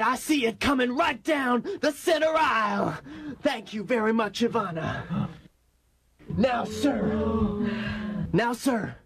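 A man's voice: sits high, vocal fry, exaggerated voice. A man playing a fervent revival preacher of money and consumerism, bombastic and exhorting in a parody sermon. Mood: ecstatic, rousing.

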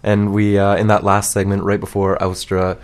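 A woman with an exceptionally low voice co-hosts a casual music show. She talks in a playful, hesitant way and tends to repeat her phrases. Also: monotone, normal speed, a little mellow. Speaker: radio show co-host.

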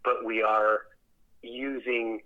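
A man speaking as a theatre director in a conversational interview, reflective and halting, often repeating words as he thinks. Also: medium pitch, little pitch movement, narrow, tinny audio.

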